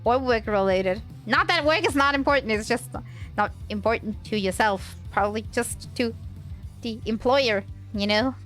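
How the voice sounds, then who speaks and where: A woman's voice: a little high, moderate pace, a bit bright, soft background music. A woman livestreamer with a slight cold, chatting casually in a hesitant, rambling way.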